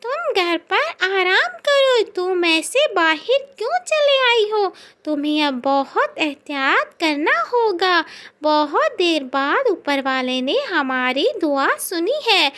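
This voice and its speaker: Woman voicing a high-pitched fussing mother-in-law bird. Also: big pitch swings, slow, sharp tone, full character voice. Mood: scolding, concerned. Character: caring older female bird mother-in-law, fussing, protective.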